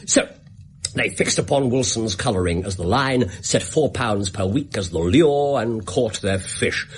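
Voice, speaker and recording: An actor playing a brilliant, bored English gentleman detective in a British radio drama, theatrical, precise and self-assured. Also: lowish voice, expressive, a little slow, slight background noise. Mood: animated, explaining.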